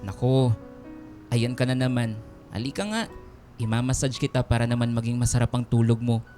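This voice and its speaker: A man's voice: medium pitch, level tone, normal speed, dark tone, faint music behind, lightly acted. A man playing a devoted, caring young Filipino man in a radio drama, earnest and polite. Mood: soft, tender. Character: devoted, caring young male nurse, respectful but firm.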